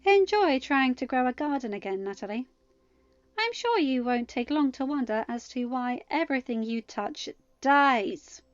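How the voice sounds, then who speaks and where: A woman's voice: high-pitched, expressive, normal speed, clear, resonant tone, no room sound, muffled recording, pitched above the performer's own voice. A woman voicing an imperious, protective goddess mother in a storybook, speaking with emphatic, threatening formality. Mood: smug threat.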